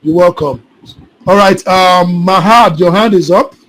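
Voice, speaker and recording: Male instructor on a video call, higher-pitched, repetitive and checks in often. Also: unhurried, fairly bright tone, rough recording. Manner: repetitive, keeps checking students follow. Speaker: solar installation trainer. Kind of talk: live online class, answering questions.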